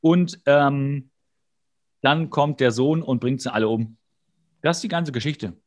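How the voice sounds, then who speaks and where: A man's voice: mid-range voice, measured pace. A man co-hosting a podcast, recapping an episode in casual chat with a jokey, rambling, wry manner. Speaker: podcast co-host.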